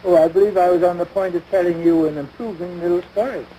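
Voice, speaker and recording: A man's voice: sits high, fairly even intonation, fast, mellow, close to an everyday voice. An actor playing a pompous, long-suffering American husband and father in a domestic comedy, speaking formally with dry sarcasm. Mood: dryly pompous. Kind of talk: domestic radio-style situation comedy. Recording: noisy vintage broadcast recording.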